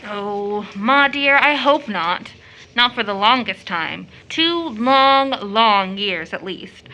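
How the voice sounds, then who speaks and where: A woman's voice: medium pitch, lively intonation, takes it slowly, pushed and bright, muffled recording. A young American woman acting a playful, affectionate, impulsive daughter in a period audio drama, with effusive, teasing, girlish delivery. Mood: playful, gushing.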